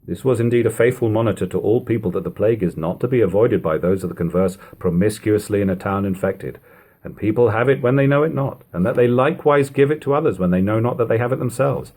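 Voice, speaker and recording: A man reading an old-fashioned first-person literary account aloud in a measured, deliberate, emphatic way. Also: on the low side, a little mellow. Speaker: audiobook reader.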